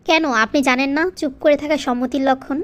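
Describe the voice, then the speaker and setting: Actress as very high-pitched, coquettish scheming mistress. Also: female voice, steady tone, quick pace, lightly acted. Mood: coy.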